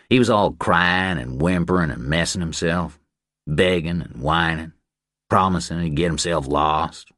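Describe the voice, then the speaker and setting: A man voicing a cold, boastful Texas ranch hand in an audiobook, speaking with slow folksy menace. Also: rumbling low, fairly bright tone, no room sound, studio quality, exaggerated voice.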